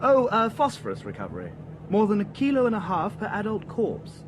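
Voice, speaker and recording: A man's voice: sits high, wildly swooping pitch, a little fast, noisy background. An actor playing a complacent young man in a radio drama, speaking in a self-assured, matter-of-fact way. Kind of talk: BBC radio drama acting.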